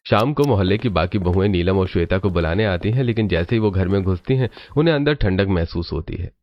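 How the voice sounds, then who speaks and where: Low-pitched man narrating a story. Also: expressive, fairly quick, muffled recording.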